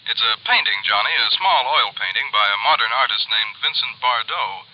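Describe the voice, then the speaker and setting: A man's voice: mid-range voice, animated, normal speed, lightly acted. An actor playing a mild, proper, easily flustered insurance company man with an American accent, in 1950s radio style. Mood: explaining, mild. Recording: muffled vintage radio recording.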